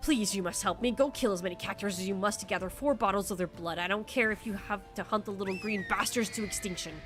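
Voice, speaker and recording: A woman's voice: medium pitch, quick pace, a bit bright, faint music behind, natural voice. A woman reading aloud the urgent, frantic lines of a desperate villager in a fantasy game.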